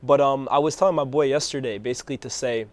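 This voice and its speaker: Hoarse male co-host, rapid chatter, dry booth sound. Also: mid-range voice, no room sound. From Algerian background. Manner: fast, animated storytelling. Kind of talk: casual football chat.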